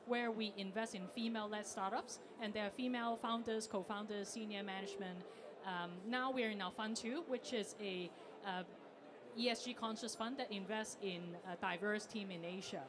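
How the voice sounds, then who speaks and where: A woman speaking on a conference panel about founding her fund, with a clear, steady explanation. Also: on the high side, noisy background.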